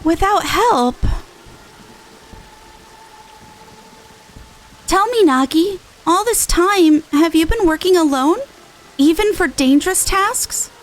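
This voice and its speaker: A woman's voice: extremely high pitch, expressive, unhurried, pitched above the performer's own voice. A woman voicing a caring, composed older sister from a fantasy game, with formal phrasing. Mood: probing, concerned. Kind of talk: reading game dialogue aloud in character.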